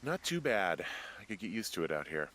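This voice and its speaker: A laid back american lookout dude in a game, deeper voice, deadpan n kinda defensive, faint noise behind. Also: male voice, brisk. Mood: easygoing, dry. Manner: deadpan, casual, slightly defensive. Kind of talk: naturalistic video game dialogue.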